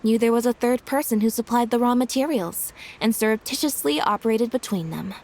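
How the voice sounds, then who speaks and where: A woman playing a calm, deductive young detective in a video game, speaking in measured, articulate and deliberate exposition. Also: a little high, slight background noise, close to an everyday voice. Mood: coolly accusing. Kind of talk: scripted video game dialogue. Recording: music behind the voice.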